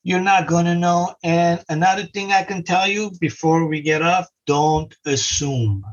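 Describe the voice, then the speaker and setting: A man's voice: on the high side, a little slow. A middle-aged man from Lebanon, earnestly giving life advice as a podcast guest. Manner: earnest, repeats simple advice. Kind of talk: casual advice in an interview. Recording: muffled lo-fi recording.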